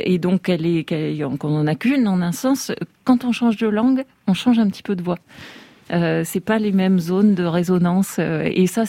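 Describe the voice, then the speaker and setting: A woman with a low, mellow voice talks reflectively as a musician guest on a radio show, pausing and restarting as she thinks. Her voice is close and dry, with no room echo. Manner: thoughtful, reflective, many hesitations. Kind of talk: radio interview conversation.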